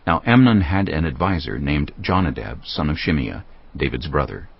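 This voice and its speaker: A man's voice: a bass voice, lively intonation, normal speed, creaky, slightly rough, no echo, lo-fi sound. A man narrating scripture in a scripted, dramatized audio Bible production.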